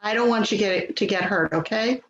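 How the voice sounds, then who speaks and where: A woman's voice: medium pitch, moderate pace, lightly acted. A woman acting a harried, placating adult daughter in a readers theater play, weary, hesitant and pleading. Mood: apologetic. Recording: dull, echoey, clearly noisy audio.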